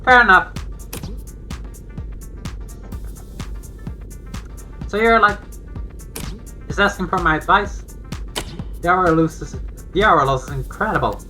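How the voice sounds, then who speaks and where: An actor voicing a brash, teasing young joker in a video game, animated and full of slangy exclamations. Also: mid-range voice, normal speed. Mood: eager, teasing. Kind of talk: voiced visual novel dialogue. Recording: game audio with music behind.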